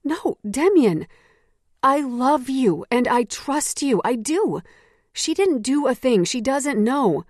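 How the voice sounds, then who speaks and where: A woman voicing an anxious young woman in an audiobook, speaking in soft, earnest, pleading lines. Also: high-pitched, animated, slow pace, no echo, clean studio sound, full character voice. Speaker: audiobook voice actor. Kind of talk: audiobook dialogue acting.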